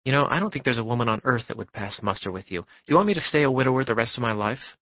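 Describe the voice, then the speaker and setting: A tired, guarded widowed father of middle pitch, as a male narrator plays him. His replies are short and reserved, in a muffled, dry recording. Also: lively intonation, rapid-fire, no echo, natural voice. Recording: dull dry studio recording.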